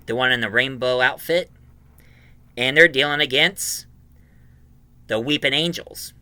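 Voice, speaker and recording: A man with a very bright, forceful voice and a clean, resonant tone. He hosts a casual review for his channel, rambling along with a fan's enthusiasm. The sound is dry, with little room on it. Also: mid-range voice, unhurried, clear, resonant tone, no room sound. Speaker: YouTube reviewer and host.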